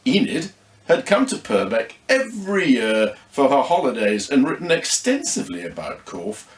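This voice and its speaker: Man, storyteller, scripted narration, lively intonation, dramatic pauses, roomy recording. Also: on the low side.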